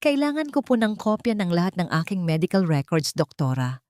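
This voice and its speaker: Woman narrator as wronged wife in audio romance drama: Filipino accent, composed, firm, lowish voice. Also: a little fast, no echo, studio quality. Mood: calm, resolute. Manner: calm, firm. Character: wronged pregnant wife, calm, resolute, protective.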